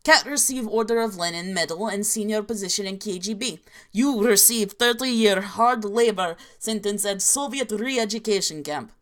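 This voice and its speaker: A woman reading parody horror stories in an inaccurate mock Russian accent, dropping articles in clipped broken grammar as a deadpan narrator. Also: mid-range voice, moderate pace, lightly acted.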